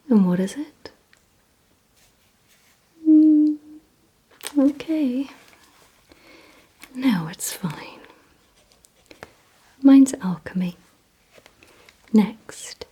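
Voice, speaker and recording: A woman speaks in a very breathy whisper, mostly without voice. Her delivery is slow and deliberate, with a soft, mellow tone. She gives hushed instructions straight to the listener as an ASMR roleplay. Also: on the high side, lively intonation. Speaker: ASMR performer.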